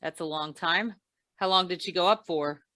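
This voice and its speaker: A woman playing a wry, shrewd hard-boiled insurance investigator in deadpan 1940s radio noir style. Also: lowish voice, moderate pace, dry recording, clean studio sound. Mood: wry, probing.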